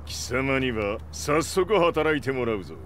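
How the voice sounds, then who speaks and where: Guy doing a bossy old warlord from a fighting game, proud, pitch jumps all over, noisy ambience behind. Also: lively intonation, brisk, fairly bright tone, close to an everyday voice. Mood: commanding, triumphant. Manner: archaic, imperious old-man speech, commanding. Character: proud, domineering old male warlord and conglomerate boss. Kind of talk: Japanese game cutscene voice acting.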